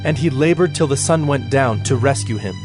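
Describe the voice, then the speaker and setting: A man narrating scripture as a formal, measured storyteller in a dramatized production. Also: medium pitch. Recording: music bed behind the voice.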